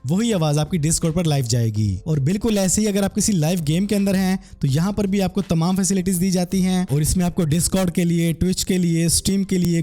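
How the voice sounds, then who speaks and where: A man presents a software tutorial. His voice is high for a man yet soft-edged and mellow, over faint background music. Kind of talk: scripted software tutorial narration.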